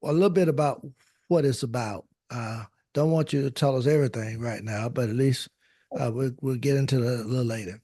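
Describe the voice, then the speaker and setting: A man hosting a podcast, speaking haltingly with many pauses and restarts. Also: medium pitch, warm tone, dry recording. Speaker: podcast host. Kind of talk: interview.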